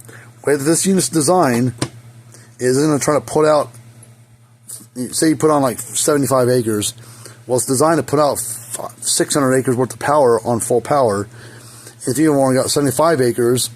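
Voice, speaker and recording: A hoarse man giving a casual, rambling how-to repair demonstration in his hot workshop, with lots of fillers. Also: mid-range voice, darkish tone. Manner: rambling, folksy, many fillers. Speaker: fence energizer repair technician. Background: faint hum of a small fan.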